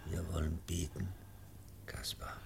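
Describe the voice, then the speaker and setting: An actor playing a grave, devoted older professor in a radio play, solemn and emphatic, with a gravelly voice. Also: male voice, level tone, unhurried, full character voice. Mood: tender, grave. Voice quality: very deep, gravelly. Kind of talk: German radio play acting. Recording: noisy old radio drama recording.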